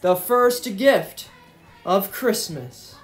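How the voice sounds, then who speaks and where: Casting: man as grand, jolly old storybook gift-giver, slow proclaiming delivery, music beneath. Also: high voice, animated, full character voice. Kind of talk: storybook character reading.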